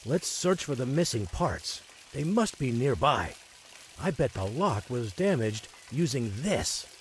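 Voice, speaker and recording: A self-assured detective from a mystery game, with a medium-pitched voice that moves expressively up and down. He sounds reassuring and theatrical, with faint noise behind him. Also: sing-song, unhurried. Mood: pondering, purposeful. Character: confident, reassuring male detective. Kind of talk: theatrical game cutscene acting.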